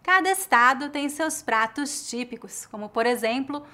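Brazilian woman teaching, são paulo accent, slightly high voice, calm and clear. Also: normal speed. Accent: São Paulo Portuguese. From Northeast Brazil. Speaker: language teacher. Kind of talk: scripted educational narration.

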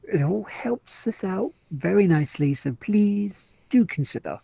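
A man's voice: high-pitched, vocal fry, warm tone, slightly rough, no room sound. A man co-hosting a gaming podcast, upbeat and laughing often in casual chat. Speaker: podcast co-host.

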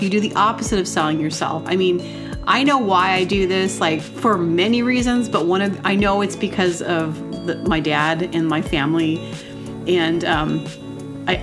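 A woman hosting a podcast, talking casually and rambling with lots of fillers and restarts. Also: lowish voice. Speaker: podcast host. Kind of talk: casual personal monologue. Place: temporary home setup. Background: music.

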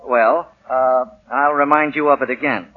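An actor playing a proud, flustered young husband and new executive, hesitant and self-important, in 1940s radio style. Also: male voice, medium pitch, fairly even intonation, normal speed, close to an everyday voice. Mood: pompous. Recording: dull 1940 radio broadcast recording.

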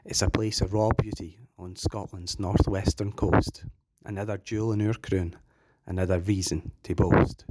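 A man with a Scottish accent reciting a rhyming poem in a steady rhythm. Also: sits low, moderate pace, heavy background noise. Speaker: poetry reader. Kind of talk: poetry reading.

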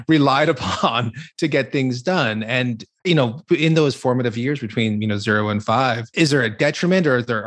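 A man's voice: medium pitch, dry recording, clean studio sound. A man co-hosting a podcast, asking questions casually and a bit tentatively, rephrasing as he goes. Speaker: podcast host. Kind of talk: casual conversational interviewing.

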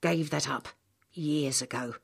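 A woman voicing a gruff, knowing old apothecary man with a measured, slightly lecturing manner in an audiobook. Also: low-pitched, heavily acted. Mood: dismissive.

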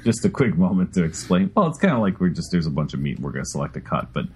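A man's voice: rumbling low, big pitch swings, quick pace, darkish tone, slight background noise. A man co-hosting a podcast, rambling, interrupting himself, and laughing between words. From Chicago area. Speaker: podcast co-host. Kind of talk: casual podcast chat.

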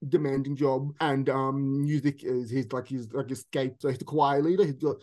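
A Palestinian man talking as a podcast guest in a relaxed, chatty way, laughing often and repeating himself as he restarts. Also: mid-range voice, moderate pace. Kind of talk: casual conversational interview.

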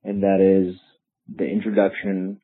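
A man leaving a recorded voicemail, ranting sarcastically and deadpan. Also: low-pitched, measured pace, no echo. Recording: tinny phone-line voicemail.

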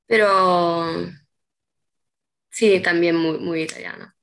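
A woman's voice: lowish voice, fairly even intonation, takes it slowly, a bit bright. A young woman from Peru, a guest in a casual interview, who hesitates often with ums and restarts. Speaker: interview guest, artist's model.

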